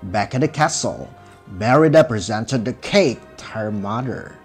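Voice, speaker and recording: A man narrating a scripted children's fairy tale in a measured, expressive storybook style. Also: fairly low pitch. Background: music.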